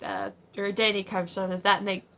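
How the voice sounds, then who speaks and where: A young woman in her twenties, slightly hoarse, giving casual advice from her home kitchen in a rambling way, with many ums and likes. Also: medium pitch, sing-song, a little fast, sharp tone, slight background noise. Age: 20s. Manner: rambling, full of ums and likes, frequent laughs. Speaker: vlogger. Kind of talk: casual faith-based advice vlog.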